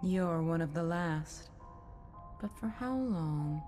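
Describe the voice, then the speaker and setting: A woman's voice: on the low side, animated, slow pace, mellow. A woman playing a cryptic, serene, eerie nurse guide in a horror game, with an enigmatic, formal manner. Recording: long reverb, faint music.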